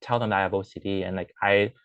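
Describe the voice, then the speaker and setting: A man's voice: deep voice, steady tone, fast, a bit bright, clear, resonant tone, no echo, lo-fi sound. A young man, a guest, talking in a casual personal conversation, hesitant, with many fillers and restarts. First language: English; Chinese is his second language. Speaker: interview guest.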